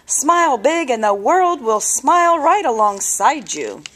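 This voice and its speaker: An encouraging storybook grandmother, voiced by a woman reader. She gives hearty advice slowly and deliberately, in a raised, high voice. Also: very animated, fairly bright tone, full character voice.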